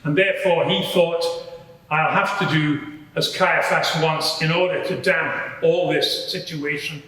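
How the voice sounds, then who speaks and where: A man playing a matter-of-fact Roman soldier giving measured, explanatory testimony at a dramatised courtroom inquiry. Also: on the high side, steady tone, bright, forceful tone. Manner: measured, explanatory, slightly hesitant. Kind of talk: dramatised courtroom testimony before a live audience. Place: large courtroom in a public building. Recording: very echoey large hall.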